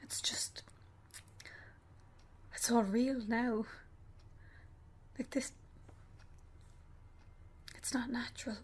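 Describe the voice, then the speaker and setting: Slow, breathy young grieving mother, acted by a woman. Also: fairly high pitch, steady tone, a little mellow, exaggerated voice. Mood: anguished. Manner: hesitant, halting, subdued. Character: grieving young mother, numb, fragile, holding herself together. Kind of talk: naturalistic radio play acting.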